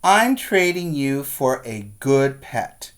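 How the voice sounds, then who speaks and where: A man voicing a disappointed, exasperated young boy from a children's storybook, with emphatic, drawn-out delivery. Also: mid-range voice, very animated. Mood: exasperated, firm.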